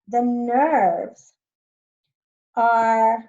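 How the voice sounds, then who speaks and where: A woman's voice: a little high, drawn-out words, mellow, dull audio. A woman teacher reading a science text aloud to students, patiently explaining new words as she goes.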